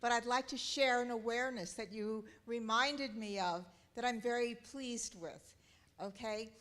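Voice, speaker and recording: A woman from Southern California with a loud voice, sharing a personal reflection from the audience at a church talk, earnest and halting as she searches for words. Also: a little high. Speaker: audience member. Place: church auditorium.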